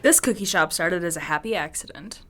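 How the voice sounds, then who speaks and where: Teen girl reporter, lively delivery. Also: fairly low pitch, brisk, a little hiss or hum. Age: teens. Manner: reads a prepared script. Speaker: student reporter. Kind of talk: scripted news report.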